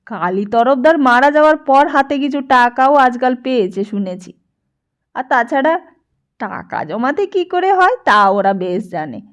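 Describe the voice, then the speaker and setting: Woman voicing a chatty, high-pitched old male priest. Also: very animated, measured pace. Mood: rambling, gossipy. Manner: rambling, chatty, question after question. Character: garrulous, nosy old male village priest, imposing and familiar. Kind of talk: dramatised audiobook dialogue.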